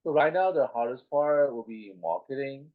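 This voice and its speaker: A man's voice: medium pitch, lively intonation, moderate pace. A middle-aged man from Taiwan, a game designer, talking in a casual interview, hesitant and full of fillers and restarts. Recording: tinny phone-like line.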